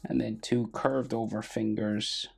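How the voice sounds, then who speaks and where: Gravelly Irish man teaching drawing. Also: lowish voice, unhurried. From Ireland. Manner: relaxed, thinking aloud while drawing, trailing off quietly. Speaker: drawing tutor. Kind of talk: casual step-by-step drawing lesson.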